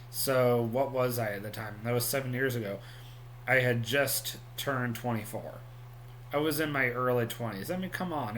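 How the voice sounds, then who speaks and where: A man in his thirties chats through a casual home vlog, his voice sitting at an ordinary middle pitch. He rambles and restarts with plenty of ums. A faint hum runs underneath. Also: fairly bright tone. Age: 30s. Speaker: vlogger.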